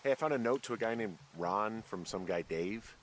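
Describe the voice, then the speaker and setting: Low-voiced, weary actor as a sarcastic man. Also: animated, moderate pace, background noise, lightly acted. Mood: dry, casual. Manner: dry, reluctant, casual. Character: weary, sarcastic everyman rookie fire lookout. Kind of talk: naturalistic video game dialogue.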